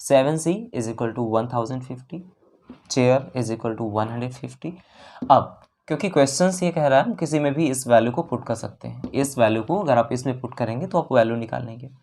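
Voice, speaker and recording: A maths teacher works through exam problems in a mid-pitched voice. The delivery is explanatory and methodical, with each step and figure often said again before moving on. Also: normal speed, a little mellow, a little hiss or hum. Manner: explanatory, repeats figures and steps.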